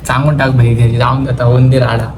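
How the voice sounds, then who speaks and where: A man's voice: mid-range voice, level tone, fast talker, music in the background. A young man with a Maharashtrian accent chatting casually and teasingly as a vlogger, with slangy speech sprinkled with English words. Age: young adult. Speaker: vlogger making a prank call. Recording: clearly noisy phone-shot vlog audio.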